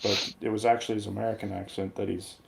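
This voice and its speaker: A man with a slightly low voice chats as a review guest. He is casual and opinionated, feeling his way through his thoughts in a halting, reflective manner. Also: flat delivery, gravelly, a crowd in the background, low-quality recording. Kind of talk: casual opinionated film chat.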